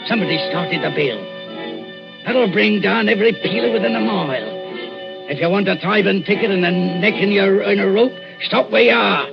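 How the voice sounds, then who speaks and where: Blustering, gravelly Cockney grave robber. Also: male voice, high voice, moderate pace. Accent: English Cockney. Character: swaggering, bullying grave robber, rough and bold. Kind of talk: 1940s radio acting. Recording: muffled 1940s radio broadcast, faint music.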